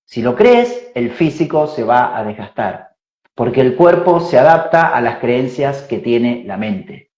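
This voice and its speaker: A man in his forties, a spiritual teacher, explains ideas slowly and reflectively, thinking aloud with fillers and tag questions. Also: medium pitch, sing-song, darkish tone. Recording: roomy, dull lo-fi sound.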